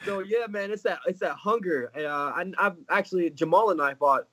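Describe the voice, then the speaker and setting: Laughing, stuffy-nosed fighter guest. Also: male voice, sits high, normal speed. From Florida. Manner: animated, joking, laughing storyteller. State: stuffy with a cold. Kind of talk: casual interview over video call. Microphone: video call.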